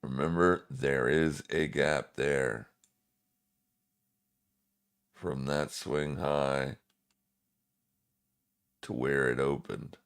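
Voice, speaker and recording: A man with a very deep smoker's voice hosts a live trading stream. His delivery is slow and smooth, with clean resonance. He comments casually in a laid-back, slangy way, speaking straight to his viewers. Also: fairly even intonation.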